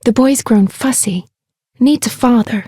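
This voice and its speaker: An actress playing a warm, witty young female medic in scripted video game dialogue, speaking in a conversational, lightly teasing way. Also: on the high side, measured pace, slightly rough, no room sound, clean studio sound. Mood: concerned. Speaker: video game character voice actor.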